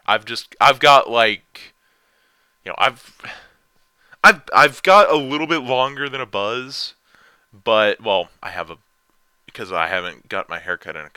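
A young man with a deep voice, rambling and self-deprecating as he answers viewer questions in a casual vlog. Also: pushed and bright, clear, resonant tone, no room sound.

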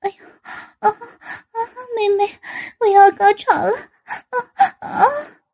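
A woman voicing a shy, flustered middle-aged woman, breathless and whimpering, in a very high, soft voice. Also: fairly even intonation, unhurried. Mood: bashful.

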